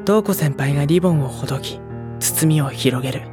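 Soft, reflective schoolboy narrator, played by an actor. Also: medium pitch, fairly quick, music in the background, lightly acted. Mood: tender, reflective. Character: gentle, reflective teenage schoolboy narrating his club life. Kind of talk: audio drama narration and dialogue.

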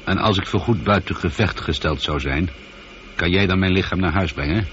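A man's voice: low voice, moderate pace, fairly bright tone. An actor playing a lone male astronaut in a radio drama, wry and musing, slightly uneasy. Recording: dull, slightly noisy old radio recording.

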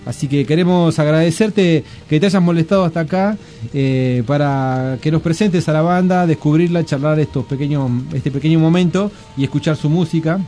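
A man's voice: a little high, normal speed, warm tone, soft background music. A man hosting a radio show, chatting in an easygoing way with his guest. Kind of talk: casual radio chat.

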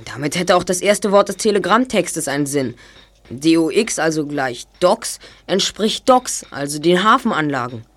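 A woman's voice: sits low, animated, moderate pace. Woman playing a clever, self-assured boy detective. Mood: confident, deducing. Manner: precise, explanatory. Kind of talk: German audio drama acting.

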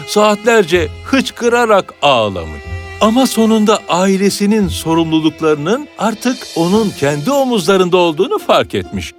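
A man narrating a children's fairy tale as a scripted storyteller. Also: high voice. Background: background music.

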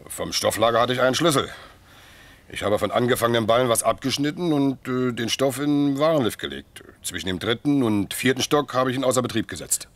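An actor playing a caught factory thief in a crime radio play, confessing in a hesitant, resigned way. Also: male voice, mid-range voice, no room sound, close to an everyday voice. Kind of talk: mid-century German crime radio play acting.

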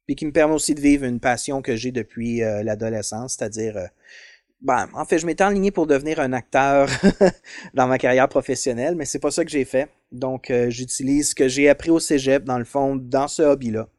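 A man's voice: medium pitch, a little fast, darkish tone, dry recording, clean studio sound. A man in his thirties with a Quebec French accent, chatting as a podcast guest about his hobbies, hesitant with many fillers. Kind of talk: casual interview chat.

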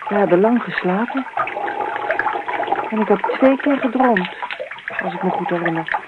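An actress in a Dutch radio play, playing a dreamy, chatty wife who rambles on insistently and repeats herself. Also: female voice, medium pitch, moderate pace, natural voice. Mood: eager, insistent. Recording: tinny band-limited radio recording with hiss.

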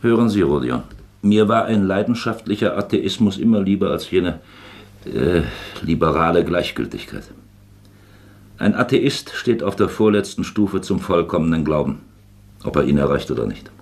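A shrewd male investigator in a radio drama, with an extremely deep voice that creaks at the bottom and swings expressively. He is patient and probing, with the conviction of a preacher. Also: lively intonation, normal speed, darkish tone. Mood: earnest, insistent. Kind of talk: German radio play acting.

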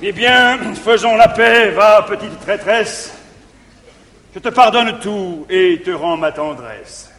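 A man playing a jealous middle-aged guardian in declaimed stage verse, swinging from tender pleading to shouting, in a reverberant 1959 theatre recording. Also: sits high, expressive, slow pace, slight background noise, full character voice. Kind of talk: 1950s French stage verse acting.

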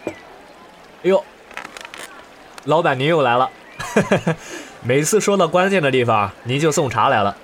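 A man's voice: fairly high pitch, expressive, unhurried, pauses often, fairly bright tone. A man playing an eager, good-natured teahouse listener in an audio drama, with ambient noise around him. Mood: jovial, eager. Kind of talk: Chinese audio drama acting.

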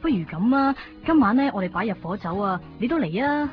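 An actress playing an anxious, sentimental young shopkeeper with a Hong Kong Cantonese accent in a radio drama. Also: female voice, on the high side, lively intonation, fairly quick, natural voice. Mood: eager, chatty. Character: anxious, sentimental young woman shopkeeper named Chui-bik. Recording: dull 1990s radio, loud music behind. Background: music.

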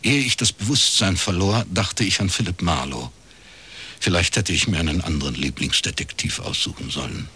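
An actor playing a wry, laconic private detective with a husky voice, in radio crime drama narration and dialogue. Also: male voice, low voice, moderate pace, full character voice. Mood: wry, reflective. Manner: dry, deadpan, understated. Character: wry, laconic male East Berlin private detective, ex-academic.

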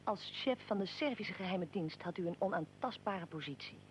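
A performer as a probing interviewer and narrator in a historical radio drama. The delivery is formal, articulate and measured, like scripted documentary narration. A faint rumble runs under the recording. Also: expressive, close to an everyday voice, rough recording. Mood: formal, narrating. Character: probing, well-prepared interviewer addressing historical figures.

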